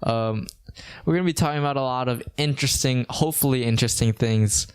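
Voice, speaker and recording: A young man in his late teens from Hawaii, hosting a casual podcast chat in a flat, monotone way with lots of restarts. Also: mid-range voice, quick pace, clean tone. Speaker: podcast host.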